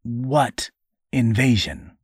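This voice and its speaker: A man's voice: medium pitch, extremely slow, slightly rough, no room sound, pitched above the performer's own voice. A man voicing a weary, sceptical father in a story, with a dry, understated manner.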